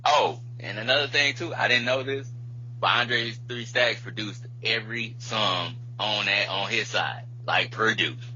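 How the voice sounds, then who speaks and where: A man's voice: on the low side, unhurried, pushed and bright. A man co-hosting a casual music podcast, talking in a rambling, slangy, profane way. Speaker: podcast co-host. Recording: muffled lo-fi podcast audio with hum.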